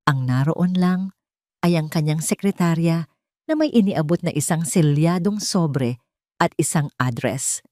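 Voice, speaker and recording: A woman with a Filipino accent narrating a dramatic first-person story in an emotive, deliberate way. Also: sits low, animated, a little mellow, no echo, studio quality.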